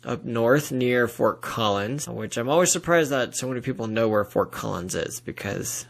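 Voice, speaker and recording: A man's voice: medium pitch, lively intonation, normal speed, creaky. A man from Colorado with an American accent, talking in a chatty, anecdotal way as a guest in a casual interview. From Denver area, Colorado, US. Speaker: interview guest, fiction author.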